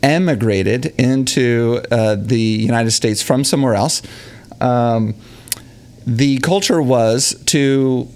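A man's voice: medium pitch, takes it slowly, darkish tone, clear, resonant tone. A man hosting a podcast, chatting with a guest in an upbeat, enthusiastic way. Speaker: podcast host. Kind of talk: casual interview chat.

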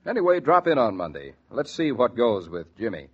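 A man's voice: medium pitch, very animated, moderate pace, a bit bright, breathy edge. A man with an American accent doing scripted 1940s radio announcing. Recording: dull 1940s radio recording.

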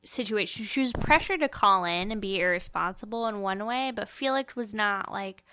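A woman's voice: medium pitch, moderate pace, sharp tone, clear, resonant tone, dry recording. A woman co-hosting a podcast, chatting casually in an opinionated, sardonic, informal way. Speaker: podcast co-host. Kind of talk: casual book-discussion chat.

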